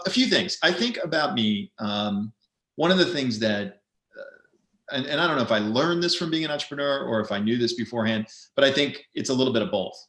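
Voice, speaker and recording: A man in his fifties talks through a casual webinar, loose and rambling, with a dry streak of self-mockery. His voice bounces around an echoey room. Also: mid-range voice. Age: 50s. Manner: relaxed, rambling, self-deprecating humor. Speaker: co-host of an online business event.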